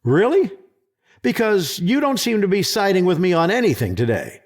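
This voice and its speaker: A man's voice: high voice, big pitch swings, normal speed, exaggerated voice. A man playing a defensive, sarcastic presidential secretary in a sci-fi audio drama, arguing sceptically. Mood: defensive, irritated. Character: combative, skeptical presidential secretary guarding his turf.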